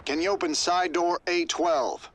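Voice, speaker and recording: An actor playing a weathered male rebel commander in a video game, gravelly and laconic with a drawl. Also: fairly high pitch, fairly even intonation, unhurried, a bit bright. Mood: businesslike.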